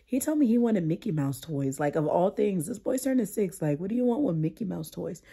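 A woman in her thirties who is sick and losing her voice, chatting casually to her vlog audience about her kids in an animated, talky way. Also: on the low side, fairly quick, creaky, slightly rough. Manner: chatty, animated storytelling with "like" and "y'all". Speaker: vlogger, mother. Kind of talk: casual chat to camera.

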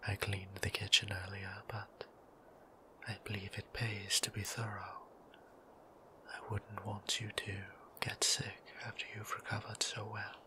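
Guy doing a soft-spoken vampire priest, formal old-timey manners, flat even whisper. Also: fairly low pitch, unhurried. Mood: tender. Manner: whispered, courtly and old-fashioned politeness. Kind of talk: ASMR roleplay.

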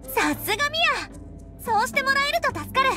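Casting: chatty teen little sister, anime game, very high voice, animated delivery, music behind. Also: female voice, brisk. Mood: friendly, eager. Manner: lively, friendly, girlish. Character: chatty, eager teenage girl, a little sister. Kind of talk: Japanese anime game voice acting.

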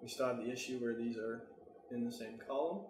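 An American man giving a casual software tutorial, talking informally and thinking aloud as he works. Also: medium pitch, fairly even intonation, brisk, reverberant, background noise. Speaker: tutorial presenter.

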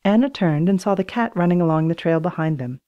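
A woman narrating a children's storybook audiobook in an expressive, acted-out reading style. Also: fairly low pitch, fast, highs cut off. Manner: expressive, acts out dialogue in raised voices.

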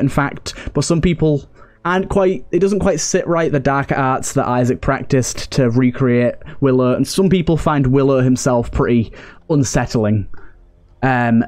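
A man's voice: a little high, a little mellow. A man giving casual video game commentary and storytelling, rambling and thinking aloud as he plays. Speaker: host of a video game roleplay series. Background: game music.

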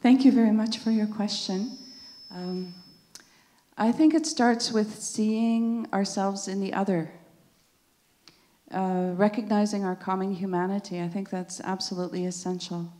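A woman's voice: mid-range voice, fairly even intonation, a little slow, warm tone. A middle-aged woman from the Netherlands answering an audience question on a panel, thoughtful and earnest. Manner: thoughtful, earnest, with light laughs. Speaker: panel speaker.

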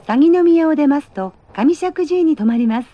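A woman reading prerecorded train announcements in a polite, measured, precisely phrased manner. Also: high voice, expressive. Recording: dull, band-limited train announcement audio.

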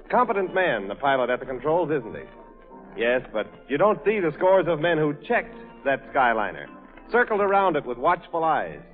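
American man doing old radio ad, highish voice, tinny and echoing like a hall. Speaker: radio announcer. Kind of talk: 1930s radio advertisement reading. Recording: tinny, reverberant 1930s radio recording.

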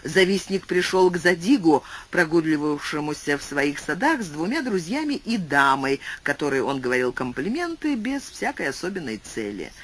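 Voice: normal speed, hardly any pauses. An audiobook narrator giving a scripted literary reading and reading explanatory footnotes aloud. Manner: animated reading with wide pitch swings.

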